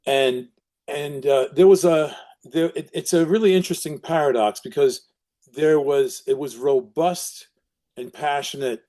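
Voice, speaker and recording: A man in his seventies with a New York accent who grew up speaking Italian first, telling his life story as an interview guest in a reflective, unhurried way with frequent restarts. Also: a little high, warm tone. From Brooklyn, New York. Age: 70s.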